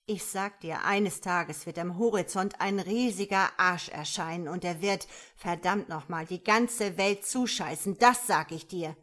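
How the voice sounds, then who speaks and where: Frau spielt einen mürrischen, vulgären Polizisten. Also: female voice, fairly low pitch, a little slow, few pauses, a bit bright. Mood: defiant, crude. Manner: gruff, vulgar, dismissive. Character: surly foul-mouthed male cop, gruff and cocky.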